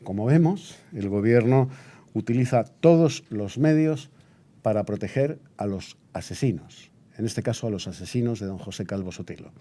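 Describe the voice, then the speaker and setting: Man playing a solemn 1936 radio newsreader, mellow voice. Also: on the low side, normal speed, natural voice. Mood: indignant, defiant. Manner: formal, declamatory, patriotic. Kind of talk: scripted period radio news bulletin.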